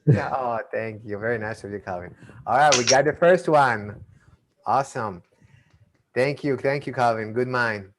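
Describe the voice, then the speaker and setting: A man's voice: mid-range voice, moderate pace, a little hiss or hum. A man who grew up in Argentina and Israel, with an accent from both, performing a magic show over a video call, chatty and playful with frequent restarts. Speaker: magician and mentalist. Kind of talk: live online magic show patter.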